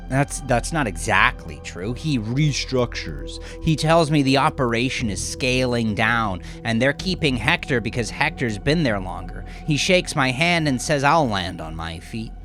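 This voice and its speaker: A man narrating a horror story in the first person, with a brooding, halting, intense confessional delivery. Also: medium pitch, animated, fairly bright tone, faint music behind. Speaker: narrator and author.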